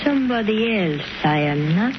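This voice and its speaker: An actress playing a haughty, sly sorceress villain from India with slow, formal, imperious speech, in 1930s radio drama style. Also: female voice, mid-range voice, lively intonation, lightly acted. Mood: cool, scheming. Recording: noisy muffled old radio recording.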